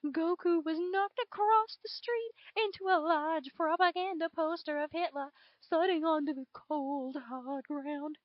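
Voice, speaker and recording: Woman putting on a very high Southern belle dragon storyteller. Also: dry recording. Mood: dramatic storytelling. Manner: theatrical, drawn-out emphasis. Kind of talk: dramatic fan fiction reading. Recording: dull lo-fi home recording.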